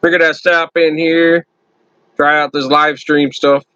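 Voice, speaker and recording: A man hosting his own channel, chatting loudly and casually to viewers on a first live stream from his computer. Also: a little high, flat delivery, measured pace, no echo, lo-fi sound. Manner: loud friendly talker. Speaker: YouTube channel host.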